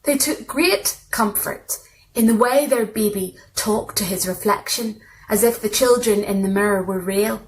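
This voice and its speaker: A woman's voice: mid-range voice, measured pace, slight background noise, poor audio. A woman reads literary short fiction aloud as a storyteller, in scripted narration. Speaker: story reader.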